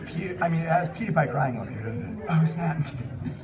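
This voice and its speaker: A man casually hosting a live convention panel, chatting in an animated, jokey, informal way. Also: a little high, brisk, crowd noise. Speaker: panel host. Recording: distant mic in noisy convention room.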